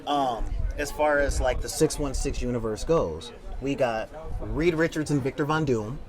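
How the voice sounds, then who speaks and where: A man's voice: medium pitch, normal speed. A man talking emphatically and with strong opinions in a casual fan panel discussion. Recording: crowd chatter, wind on microphone.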